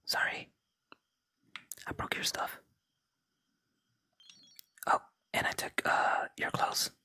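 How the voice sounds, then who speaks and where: Very bright, fully whispered ASMR gaming host. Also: slow pace. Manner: unvoiced, gentle whispering throughout. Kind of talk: whispered ASMR let's play, reading game dialogue aloud.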